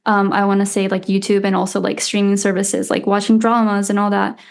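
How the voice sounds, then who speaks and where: A woman's voice: mid-range voice, monotone, brisk, vocal fry, clean tone. A woman in her twenties whose first language is Japanese, a podcast guest chatting casually with plenty of "like" and "um". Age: 20s. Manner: chatty, lots of "like" and "um", laughs lightly. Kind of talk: casual interview chat.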